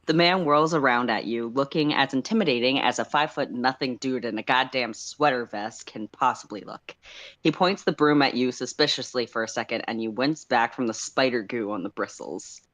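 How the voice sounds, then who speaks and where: A man's voice: mid-range voice, normal speed, a bit bright, no echo. A man co-hosting a livestream in a casual style, as he chats and reads fan fiction aloud. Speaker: co-host and story narrator.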